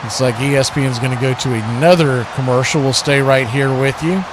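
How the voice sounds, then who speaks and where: A man's voice: medium pitch, fairly quick. A man hosting a watch party with live football play-by-play, often rephrasing as the play unfolds, crowd noise behind him. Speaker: play-by-play host of a sports watch party stream.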